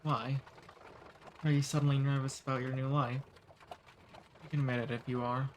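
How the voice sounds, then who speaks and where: A man voicing a friendly, centuries-old male demon in an ASMR roleplay. He talks calmly and teases gently. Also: medium pitch, moderate pace, lo-fi sound, a little hiss or hum, natural voice. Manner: calm, friendly, gently teasing.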